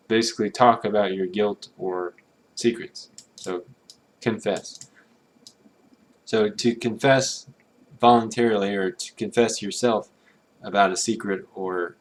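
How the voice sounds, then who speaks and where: Male streamer, lowish voice, tentative musing commentary, faint hiss and typing in the background. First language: English. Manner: musing, tentative, mutters Japanese readings to himself. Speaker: streamer translating a game. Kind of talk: casual thinking-aloud commentary.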